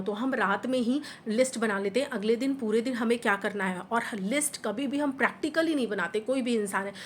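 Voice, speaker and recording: A young woman with a hoarse voice from a sore throat, giving earnest, encouraging study advice to the camera as a housewife vlogger, mixing English words into her Hindi. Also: a little high, a little hiss or hum. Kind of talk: motivational talk to camera.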